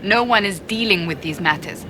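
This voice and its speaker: A woman voicing a serious, dutiful warrior advisor in a video game, speaking formally, gravely and directly. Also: mid-range voice, normal speed, noisy background, lightly acted. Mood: concerned.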